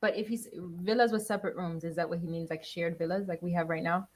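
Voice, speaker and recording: A woman's voice: fairly low pitch, steady tone, fast, darkish tone. A woman in her early thirties from Haiti, raised partly in Miami, chatting casually and chiming in as a co-host on a live stream. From Haiti, moved to Miami at thirteen. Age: early 30s. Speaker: co-host beside her husband. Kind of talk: casual chat, interjecting.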